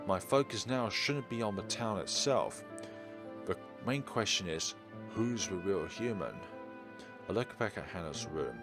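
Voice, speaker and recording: A man streaming a visual novel, narrating and commenting in an expressive, emphatic way. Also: lowish voice, unhurried. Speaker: game streamer. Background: game music.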